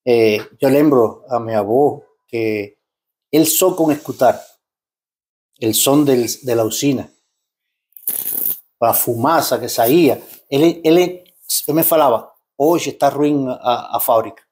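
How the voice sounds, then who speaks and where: Man in his fifties, Cuban accent, mid-pitched voice, interview guest, switches between Spanish and Portuguese, restarts often. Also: takes it slowly, a little mellow. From Cuba. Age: 50s. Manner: mixes Spanish and Portuguese, frequent restarts and tag questions. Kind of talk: casual interview talk.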